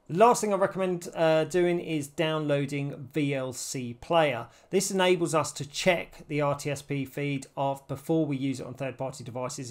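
A man in his forties presenting a casual, step-by-step tech tutorial. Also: fairly high pitch.

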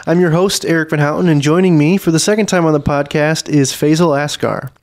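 A man in his thirties hosting a podcast interview, gentle and filler-heavy, at a boom-arm mic in a small studio room. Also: fairly quick, no echo. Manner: gentle, with frequent ums. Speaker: podcast host.

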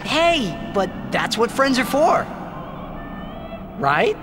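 An actor playing a boyish, loyal teenage athlete hero in a video game, casual, upbeat and earnest. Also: male voice, very high-pitched, very animated, very slow, in a large hall, music in the background, exaggerated voice. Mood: teasing, friendly.

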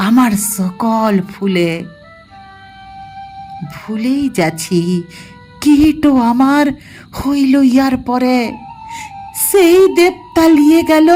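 A woman reciting dramatic poetry as a poor, ill-fated village girl in a rural western Bengal dialect, with a plaintive, emotive, drawn-out delivery. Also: sits high, animated, darkish tone, faint music behind. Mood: dreamy.

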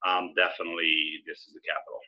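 A man's voice: sits low, little pitch movement, fast talker. A man in his forties, originally from Serbia, answering interview questions in an easygoing, explanatory way over a video call. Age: 40s. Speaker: guest. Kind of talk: casual interview. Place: indoor room with brick wall. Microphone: video call webcam. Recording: slightly lo-fi video call audio.

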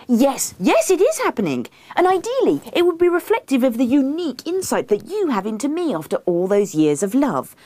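A woman's voice: high voice, huge pitch swings, brisk, few pauses, dark tone, pitched above the performer's own voice, full character voice. A woman voicing a needy, insistent wife, pleading and indignant, in a comic book reading.

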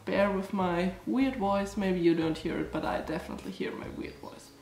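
A woman's voice: lowish voice, normal speed, gravelly, a little mellow, reverberant, a little hiss or hum. A young woman from Germany with a stuffy, blocked-up nose from allergies, chatting casually as she tastes snacks for her vlog. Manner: chatty, rambling, lots of "like" and "um". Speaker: food vlogger. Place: small cottage room, indoors. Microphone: camera in front, close framing.

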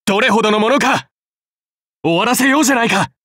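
A man voicing a young Greek hero swordsman, gentle and dutiful yet hesitant, in anime game battle lines, with a breathy voice. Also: very high voice, lively intonation, fast talker, dry recording, clean studio sound, exaggerated voice. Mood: fervent, commanding. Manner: soft-spoken, polite, turning to fervent battle cries.

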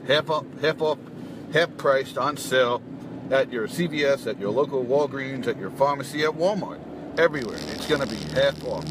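A middle-aged man with a New York accent, a fitness coach giving a casual, emphatic pep talk to his phone from a parked car. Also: mid-range voice. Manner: chatty, emphatic, self-deprecating asides. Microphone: phone held close, front camera. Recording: car with clear road noise.

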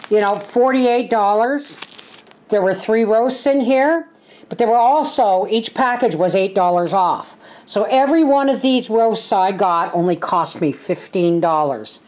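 A woman's voice: medium pitch, lively intonation, slow pace, a little mellow. An older woman, giving a casual, plain-spoken, folksy vlog narration in her home kitchen. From Canada. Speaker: homesteading vlogger and host.

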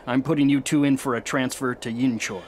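A gruff older male sergeant, played by an actor, with a medium-pitched voice. He is brisk and authoritative in a war audio drama, with faint background noise. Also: fairly even intonation, lightly acted. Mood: authoritative, approving.

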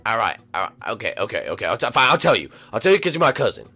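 A man voicing a gruff, lazy male turtle brute who grumbles and threatens. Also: fairly low pitch, sing-song, very fast, pushed and bright, soft background music, voice pushed down, full character voice.

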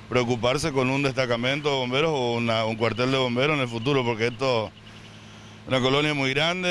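A man's voice: medium pitch, a bit bright, slight background noise. An older man, a volunteer fire chief, interviewed outdoors and speaking in a rambling way with tag questions and restarts. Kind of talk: on-the-spot news interview. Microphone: handheld reporter mic.

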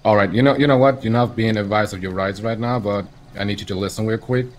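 Low-voiced man role-playing a police officer. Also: fast talker, a little hiss or hum. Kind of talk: improvised police role-play in an online game.

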